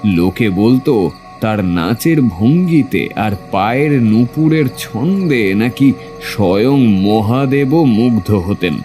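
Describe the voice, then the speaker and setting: A man playing a fearful heir of a cursed landlord family, telling his tale in a grave, drawn-out way in a horror audio drama. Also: lowish voice, lively intonation, dark tone, soft background music. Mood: ominous storytelling.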